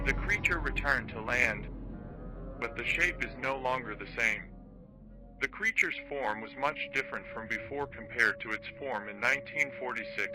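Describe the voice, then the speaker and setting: A man playing a solemn documentary narrator of monster attacks, reading a formal, measured horror script. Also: medium pitch, close to an everyday voice, rough recording. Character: grave male documentary narrator reporting giant monster attacks. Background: background music.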